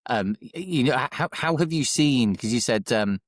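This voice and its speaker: A man's voice: medium pitch, lively intonation, moderate pace, no room sound, studio quality. A man hosting an interview over a video call, with a voice he is losing, hesitating through many fillers and restarts. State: losing his voice. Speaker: podcast host.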